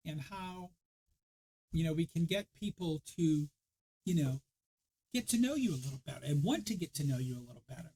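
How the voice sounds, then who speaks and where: A man hosts a relaxed spiritual podcast in a chatty, wandering way. His voice is hoarse and worn, sitting a little high for a man. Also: rough recording. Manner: chatty, loose and rambling. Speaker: podcast host. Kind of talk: casual spiritual podcast chat.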